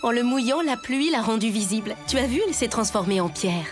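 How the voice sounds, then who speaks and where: A woman playing a calm animal mother, gently explaining things in an animated cartoon. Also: fairly high pitch, a little fast, lightly acted. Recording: music under the voice.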